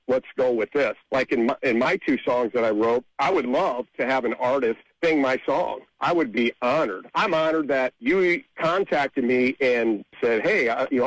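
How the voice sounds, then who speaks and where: A man's voice: medium pitch, no echo, low-quality recording. A man answering casually as a songwriter guest in an interview, often repeating and restarting his phrases.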